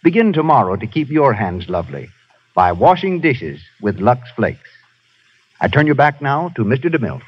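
A radio announcer from the 1930s, a man with a husky voice that rises and falls a lot. He reads the ad copy in a persuasive way. The broadcast sound is dull and muffled. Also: mid-range voice, sing-song, normal speed. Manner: smooth persuasive sales delivery. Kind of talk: read commercial and cast credits.

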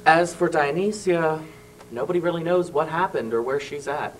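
A young man talking as a guest on a small local TV studio show, halting with many fillers and small laughs, into a handheld mic. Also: on the high side, far from the mic, soft background music, low-quality recording. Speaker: cast member guest. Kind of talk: casual studio chat. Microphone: handheld mic held by host.

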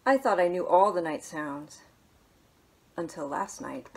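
A woman in her thirties, a children's librarian, reading a picture book aloud at home in a small living room, gently and with soft hushed asides. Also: fairly low pitch, lively intonation, a little slow, vocal fry, noisy background.

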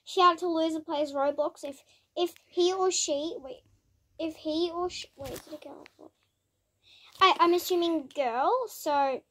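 A woman's voice: very high-pitched, measured pace, pushed and bright. A young girl chatting casually while playing a video game, giggly and talking to her viewers. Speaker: gamer. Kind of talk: casual gameplay chat.

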